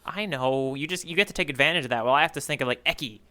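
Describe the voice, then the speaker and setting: A man's voice: medium pitch, very fast, bright, forceful tone. A man co-hosting a casual readalong podcast, talking in a playful, rambling way that often interrupts itself. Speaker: podcast co-host.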